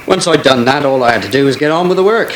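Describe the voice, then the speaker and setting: An actor playing a modest, good-natured pig farmer in a radio soap. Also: male voice, medium pitch, lively intonation, fast talker, close to an everyday voice. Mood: reflective. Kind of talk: 1980s radio soap acting. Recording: archive radio broadcast, faint background noise.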